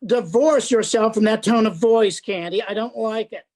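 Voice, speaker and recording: A man's voice: high voice, normal speed, darkish tone, natural voice. A man acts out a theatrical, haughty sidekick in a radio mystery. He grumbles with dry, hammy indignation, every phrase delivered as if mildly offended. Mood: indignant, grumpy. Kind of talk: 1950s radio detective play acting.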